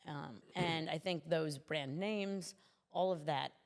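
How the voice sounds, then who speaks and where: A woman's voice: low voice, slow pace, creaky, big-room sound. A woman speaking as a city commissioner in a conversational interview, explaining things earnestly.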